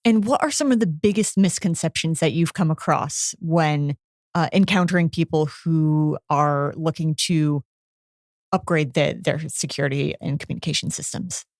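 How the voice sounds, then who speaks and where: A woman's voice: sits low, normal speed, darkish tone, no room sound, studio quality. A woman acting as interviewer, putting questions in a conversational way.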